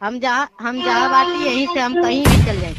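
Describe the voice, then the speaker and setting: A woman's voice: high-pitched, normal speed. A woman with a Bhojpuri accent recounting personal grievances as a caller in a recorded phone conversation. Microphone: phone call. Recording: muffled, noisy recorded phone call.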